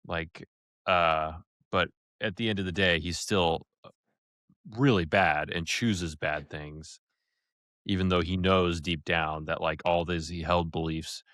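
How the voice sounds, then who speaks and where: A man co-hosting a casual podcast, relaxed and opinionated, often restarting his sentences. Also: low voice, measured pace, no echo, studio quality. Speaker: podcast co-host.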